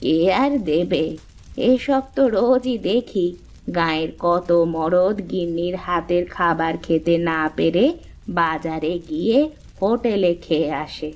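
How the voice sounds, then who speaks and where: A woman voicing a kindly older village aunt in a cartoon, gentle, homely and sage in manner. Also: lowish voice, a little slow, close to an everyday voice. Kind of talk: cartoon dialogue acting.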